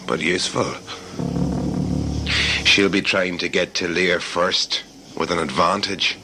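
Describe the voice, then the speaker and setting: A man playing a sinister, taunting hunter who stalks his prey in a sci-fi audio drama, with a deliberate, menacing delivery. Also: low-pitched, steady tone. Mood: sly, calculating. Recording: loud music over the voice.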